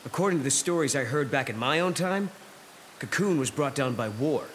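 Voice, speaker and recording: An actor playing a blunt, earnest young warrior with a protective streak in a video game. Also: male voice, mid-range voice, lively intonation, brisk, slight background noise, close to an everyday voice. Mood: probing. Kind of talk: dramatic video game voice acting. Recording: loud game music behind the voice.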